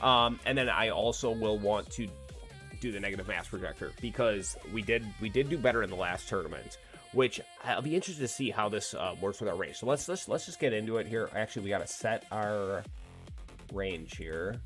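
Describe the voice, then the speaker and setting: A man's voice: on the low side, brisk, a bit bright, soft background music. Laid-back nasal young-sounding man streaming. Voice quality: slightly nasal. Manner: laid-back, swears freely, talks to chat. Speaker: gaming streamer. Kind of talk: casual live-stream chat while playing.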